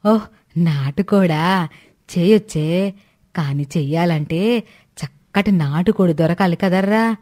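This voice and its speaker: A woman's voice: fairly low pitch, mellow. Woman acting a grumbling, stingy old village granny. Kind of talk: Telugu moral storybook character voicing.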